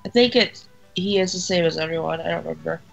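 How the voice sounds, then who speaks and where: A woman's voice: on the low side, quick pace, vocal fry, dull audio, soft background music. A woman chatting casually as a co-commentator on a game let's play. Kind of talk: casual chat.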